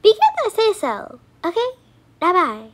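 A woman's voice: very high voice, big pitch swings, moderate pace, voice pushed up. A woman voicing a snooty, bossy young fiancée in an amateur cartoon doll story, with haughty phrasing. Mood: indignant. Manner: haughty, valley-girl phrasing. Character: snooty, bossy young fiancée, mean behind false sweetness. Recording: clearly noisy home recording.

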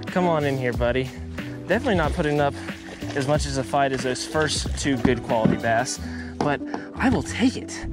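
A young man chatting to viewers while fishing from a small boat on a pond, excitable and laughing often. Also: mid-range voice. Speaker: fishing vlogger. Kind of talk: casual running commentary while fishing. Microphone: head-mounted action camera.

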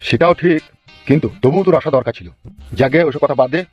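A man voicing a gruff but kindly middle-aged village father in a comic cartoon. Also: a little high, fast talker. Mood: teasing. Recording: dull lo-fi audio, music bed.

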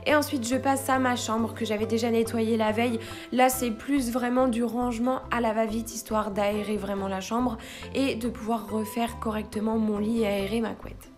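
A woman's voice: on the high side, fairly even intonation, normal speed. A woman in her twenties, a young mother, chatting through a cleaning vlog voice-over and praising a product with enthusiasm. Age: 20s. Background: faint background music.

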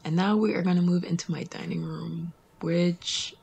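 A woman in her thirties narrating her home cleaning vlog in a candid, chatty way, trailing into soft asides. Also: lowish voice, fairly even intonation, normal speed, gravelly. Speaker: cleaning vlogger. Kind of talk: casual voice-over narration.

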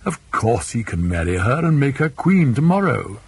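A man's voice: mid-range voice, sing-song, moderate pace, a little mellow, breathy edge, dry recording, full character voice. A man voicing a blustering middle-aged English politician, pompous and declamatory, with an RP accent, for an audiobook. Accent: English Received Pronunciation.

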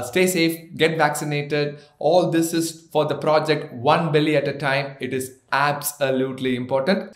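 An Indian man in his thirties gives health tips straight to camera, his voice sitting a little high for a man. He works through numbered points with dry little jokes along the way. The sound carries the echo of a bare office room. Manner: gently joking, lists points one by one. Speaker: doctor, health channel host. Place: office with framed certificates, indoors. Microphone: seated, medium shot to camera.

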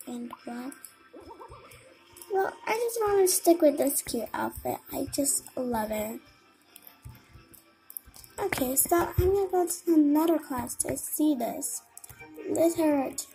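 A woman's voice: sits high, vocal fry, warm tone, low-quality recording. A young girl giving casual gameplay commentary, thinking aloud in a childlike murmur to herself. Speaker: gamer. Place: indoors at a computer, screen filmed by camera.

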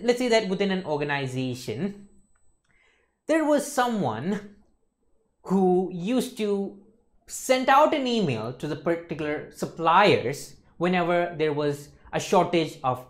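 Young male lecturer, swooping lively intonation, emphatic teaching delivery. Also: on the high side. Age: young adult. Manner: emphatic, repeats checking tags like "okay, folks" and "isn't it". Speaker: accountancy lecturer. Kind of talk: explanatory lecture to camera. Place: indoor lecture studio.

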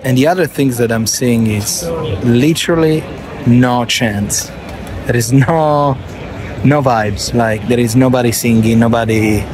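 Excitable man vlogging outside a stadium. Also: mid-range voice, a little slow. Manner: excitable, chatty, repeats "look at that". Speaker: football vlogger. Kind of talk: casual walking vlog. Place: outdoors at night by a stadium. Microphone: phone camera held at arm's length. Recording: loud street and crowd noise.

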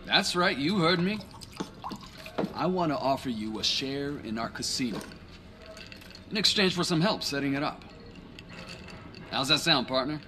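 A man's voice: medium pitch, lively intonation, measured pace, pauses often, background noise, close to an everyday voice. A man playing an irascible, shrewd crime boss in a video game cutscene, articulate and commanding. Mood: firm, businesslike.

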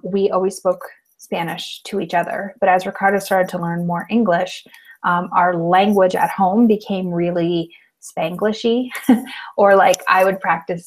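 A chatty woman in her thirties from Colorado, talking casually on a video call. Her voice sits a little low for a woman, and she laughs easily as she tells a story. The sound comes through an earbud headset in a small room at home. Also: poor audio. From Colorado, United States. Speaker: podcast co-host. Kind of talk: casual chat.

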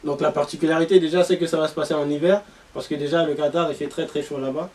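A man's voice: on the high side, fairly even intonation, fast, a little mellow. A young man speaking as a panelist in a casual discussion. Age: young adult. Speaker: panelist presenting a football segment. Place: small meeting room around a table. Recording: distant room mic.

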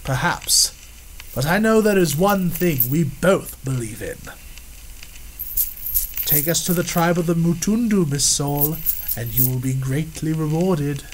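An actor playing a proud, pompous gentleman explorer in a 1930s-style radio drama. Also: on the high side, lively intonation, measured pace, a little hiss or hum, poor audio. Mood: haughty. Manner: pompous, old-fashioned gentleman talk. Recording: music bed under dialogue.

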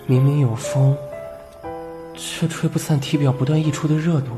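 A young man playing a gentle, shy student quietly in love, soft-spoken and wistful, in an audio comic drama. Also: fairly high pitch, steady tone, moderate pace, music in the background, close to an everyday voice.

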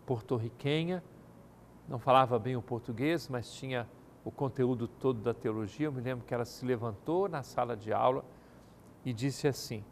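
An older man speaking as an archbishop in a TV studio, answering viewers' questions in a measured, formal pastoral manner. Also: medium pitch, gravelly, a little hiss or hum. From Brazil. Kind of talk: televised pastoral talk. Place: TV studio set. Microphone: studio mic, seated at desk.

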